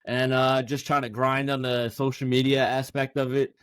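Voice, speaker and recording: A young man hosts a casual podcast and talks in a loose, rambling way, with plenty of "like" and quick laughs. His voice sits in a middle pitch. The recording is close and dry, with no room sound. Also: level tone, clear, resonant tone, no room sound. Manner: loose and chatty, lots of fillers, laughs often. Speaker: podcast host; active-duty service member. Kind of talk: casual interview chat.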